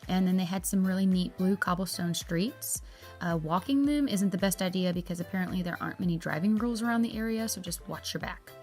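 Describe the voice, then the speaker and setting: A woman's voice: lowish voice, a little fast, darkish tone, soft background music. A woman with a Southern US accent, narrating a chatty, upbeat travel review in a folksy way. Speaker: travel vlogger.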